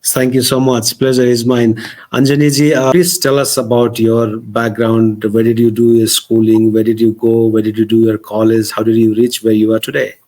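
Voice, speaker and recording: A man's voice: medium pitch, level tone, moderate pace, dark tone. A man hosting a podcast, formally introducing and questioning his guest. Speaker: podcast host. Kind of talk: podcast interview.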